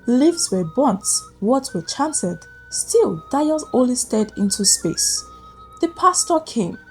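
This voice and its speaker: A woman narrating a scripted folktale as a storyteller, with suspenseful, deliberate phrasing. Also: high-pitched, very animated, rough recording. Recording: music behind the voice. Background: background music.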